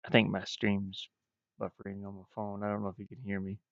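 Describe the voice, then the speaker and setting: A low-voiced man talks casually to his livestream while working on a game. His delivery is unhurried and halting, with a gravelly creak, often trailing off. The sound is dry and a bit muffled. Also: monotone, no echo. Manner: hesitant, halting, frequently trailing off. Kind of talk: casual stream chat. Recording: muffled, dull low-fidelity stream audio.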